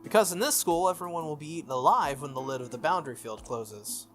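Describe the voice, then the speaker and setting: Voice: mid-range voice, lively intonation, fast, fairly bright tone, close to an everyday voice. A let's-play reader voicing a confident, teasing, bossy teenage schoolgirl mage from a visual novel. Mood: explaining. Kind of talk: visual novel dialogue read aloud. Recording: music under the voice.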